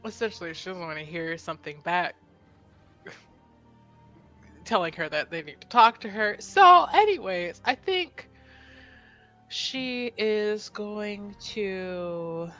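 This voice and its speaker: A woman playing a tabletop roleplaying game, chatting casually in a giggly, self-deprecating, animated way. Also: mid-range voice, a little slow, faint music behind.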